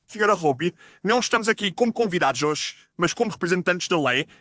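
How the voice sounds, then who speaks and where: A man's voice: sits high, animated, quick pace, bright, forceful tone, no room sound, studio quality. A man playing a formal, firm Victorian police inspector with an official, declamatory manner in an audio story. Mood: firm, polite.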